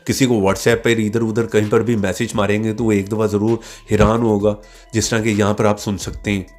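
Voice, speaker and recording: A man's voice: fairly low pitch, little pitch movement, moderate pace, darkish tone, soft background music. A man hosting a tech tips channel, giving app tutorial narration step by step, often adding little check-in tags as he instructs.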